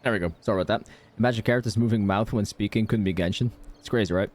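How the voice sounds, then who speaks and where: A man's voice: lowish voice, very fast, darkish tone. A man streaming a game playthrough, commenting in an offhand, wry way.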